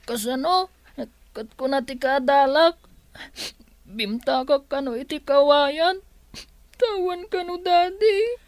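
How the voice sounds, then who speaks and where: A woman playing a sad, whimpering small child with halting speech in a radio drama. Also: sits high, no echo. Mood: tearful. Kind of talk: Philippine radio drama acting.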